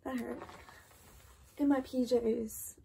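A woman's voice: on the high side, steady tone, takes it slowly, gravelly, dark tone, breathy edge, poor audio. Sleepy, chatty teen girl vlogging. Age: 18, about to turn 19. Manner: chatty, lots of "like" and "literally", restarts. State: tired, late at night. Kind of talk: casual late-night chat to camera. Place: bedroom at home, indoors.

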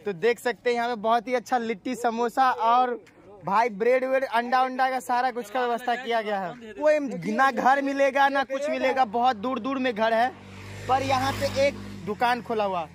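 A man's voice: extremely high pitch, steady tone, moderate pace. A young man, chatting and teasing as he films a village vlog outdoors on a phone held at arm's length. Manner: chatty and teasing, addresses viewers as guys. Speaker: vlogger. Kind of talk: casual village vlog chat. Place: outdoors on a rural village road.

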